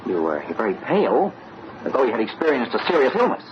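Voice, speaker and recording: An actor playing an earnest, polite, easily flustered young fiancé in an old-time radio drama. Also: male voice, medium pitch, wildly swooping pitch, fast talker. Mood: startled, puzzled. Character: earnest polite young fiancé off to meet future father-in-law. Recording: muffled, noisy old radio recording.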